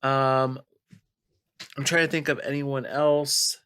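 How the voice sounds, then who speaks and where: A man with a nasal voice of medium pitch talks as a podcast host. The delivery is casual and conversational, and the sound is close and dry. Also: little pitch movement, slow pace, fairly bright tone, clean tone, dry recording. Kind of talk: casual video game podcast chat.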